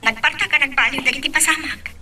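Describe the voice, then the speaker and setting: A woman's voice: sits high, fast, bright, forceful tone, recorded from a distance, slight background noise. A woman with an Ilocano accent acting a reflective young woman in a love drama.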